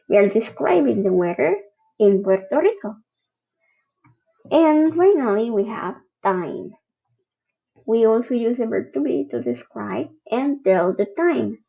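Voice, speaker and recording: Woman, grammar teacher, fairly high voice, slow, patient, lo-fi recording. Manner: patient, spells out each example. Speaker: English teacher.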